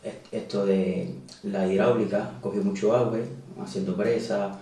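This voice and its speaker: A Cuban man in his thirties with a Cuban Spanish accent, talking casually as an interview guest and filling pauses with "eh". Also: on the low side, steady tone, mellow, distant mic. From Cuba. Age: 30s. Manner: conversational, many "eh" fillers and restarts. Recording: roomy, echoey, voice away from mic.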